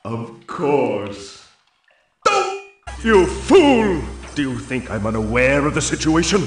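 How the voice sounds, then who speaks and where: An actor playing a pompous, scheming mad scientist in a video game, theatrical and mock-courteous. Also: male voice, fairly high pitch, wildly swooping pitch, takes it slowly, full character voice. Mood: exasperated. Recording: echoey and distant, music behind.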